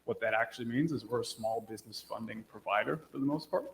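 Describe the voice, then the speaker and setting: A man's voice: fairly low pitch, quick pace, gravelly, poor audio. Guy in his 30s on a conference panel, laid back, cracks jokes. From Buffalo, New York. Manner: relaxed, joking. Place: conference stage.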